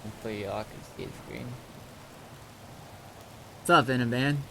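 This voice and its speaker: A man's voice: mid-range voice, normal speed, creaky. Laid-back man streaming over noisy ambience. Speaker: game streamer. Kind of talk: casual livestream chat, recapping roleplay events.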